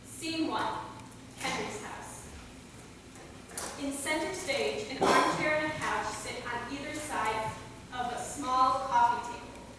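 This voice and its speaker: A woman's voice: sits high, takes it slowly. A woman narrator reading scripted stage directions for a play reading. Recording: echoey hall, distant mic, clear noise.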